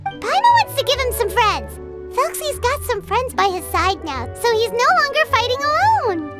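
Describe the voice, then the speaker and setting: Very squeaky excitable little fairy. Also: female voice, normal speed, loud music behind the voice. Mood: eager. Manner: exclaiming, theatrical, refers to herself in third person. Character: tiny excitable childlike female fairy companion. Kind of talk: video game cutscene acting.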